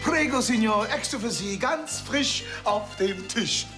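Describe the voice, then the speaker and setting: A man's voice: high-pitched, a little slow. A man playing a cheeky, chatty waiter who sprinkles in Italian phrases in a TV comedy sketch. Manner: lively sing-song delivery, Italian phrases. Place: restaurant studio set. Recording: music and studio audience laughter.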